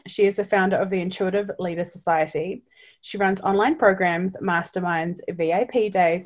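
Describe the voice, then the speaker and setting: A woman hosting a podcast, talking in a gushing, enthusiastic way with many "likes". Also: fairly low pitch. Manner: gushing, enthusiastic, says "like" often. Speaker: podcast host. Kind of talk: upbeat podcast chat.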